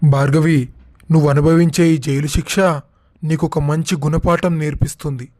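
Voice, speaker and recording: A proud, harsh father-in-law, voiced by a man in a storybook drama. His voice sits high yet mellow and dark, and he scolds sternly. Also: level tone, normal speed, lightly acted.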